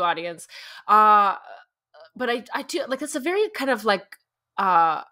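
A woman's voice: mid-range voice, a bit bright. A woman in her thirties co-hosting a casual podcast chat from home, making playful jokey remarks and asking questions over a video call. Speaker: podcast co-host. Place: room at home with curtain behind.

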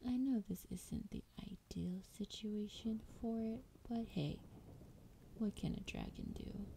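An ancient, lonely she-dragon, acted by a woman, murmurs to a beloved in an intimate ASMR roleplay. The voice is a breathy, very soft and dark whisper, unhurried and coaxing, with tender, teasing possessiveness. Ambient noise is audible behind it. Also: medium pitch. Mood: wistful. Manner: slow, coaxing, affectionate. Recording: clear background noise, ambience.

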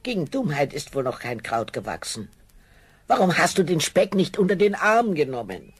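A woman's voice: deep voice, big pitch swings, moderate pace, husky, full character voice. An actress playing a fussy, long-suffering middle-aged peasant mother in a children's fairy-tale radio play, fretful and full of exclamations. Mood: exasperated, fretful.

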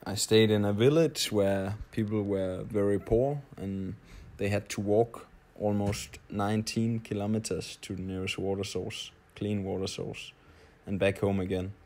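A man in his late twenties from Denmark with a Danish accent, telling his story in a halting, hesitant audio-blog monologue. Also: lowish voice, fairly even intonation, a little mellow. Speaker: traveler, audio blogger.

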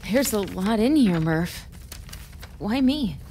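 An actress playing a tough, wry investigator in a noir audio drama, with a sardonic, casual way of talking. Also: female voice, mid-range voice, animated, takes it slowly, dark tone, a little hiss or hum. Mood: skeptical, wry.

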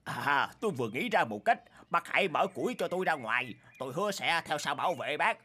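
A man voicing a trapped, scheming male tiger in a cartoon, flattering and boastful. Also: a little high, brisk, husky, exaggerated voice. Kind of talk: cartoon voice acting. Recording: music bed under the voice.

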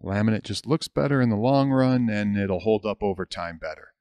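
American man, middle-aged, hobbyist how-to voice-over. Slightly low pitch, very dry sound, matter-of-fact delivery. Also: expressive, a little fast, a little mellow, no echo. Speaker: hobbyist video host. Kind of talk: explanatory DIY voice-over.

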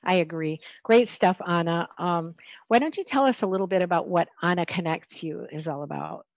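A middle-aged woman hosting an interview over a video call with a headset, warm and affirming. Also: on the low side, normal speed, no room sound. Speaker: host. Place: small village home indoors.

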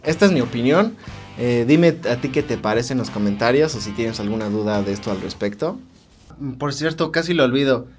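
A man's voice: medium pitch, lively intonation, brisk, faint music behind. A man with a Mexican accent giving a casual, conversational product review to viewers, explaining things informally as a tech vlog host.

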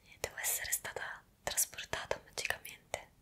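A woman's voice: moderate pace, pushed and bright, natural voice. A young woman playing a bewildered time traveller in a whispered ASMR roleplay, hesitant and full of wonder, close to the microphone. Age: young adult. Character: bewildered young woman magically transported to the past.

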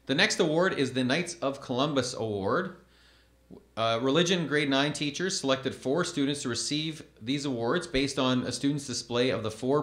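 A man in his thirties reads award citations at a podium, his middle-pitched voice measured and formal. The room rings around him with a roomy echo, as on a school event stage. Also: a bit bright. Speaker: awards ceremony announcer. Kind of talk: reading award announcements. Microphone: podium microphone.

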